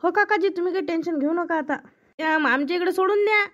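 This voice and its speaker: A woman's voice: very high voice, quick pace, a bit bright, dull audio. A woman voicing a worried, talkative village farmer man in a comic cartoon, folksy and complaining, with a Varhadi Marathi accent. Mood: businesslike.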